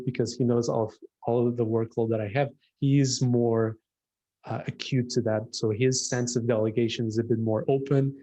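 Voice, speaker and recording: Portuguese-accented male designer, thoughtful, halting delivery, mid-range voice, casual chat. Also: mid-range voice, mellow, poor audio. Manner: reflective, hesitant with restarts. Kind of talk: casual conversation.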